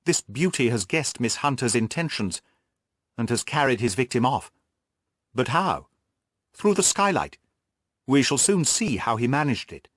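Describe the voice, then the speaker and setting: A man voicing a shrewd, brisk detective who explains his deductions emphatically and gives orders in an audiobook. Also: medium pitch, very animated, fairly bright tone, husky, no room sound, studio quality, heavily acted. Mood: excited, urgent. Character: shrewd male detective, brisk, commanding, analytical. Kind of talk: audiobook character dialogue.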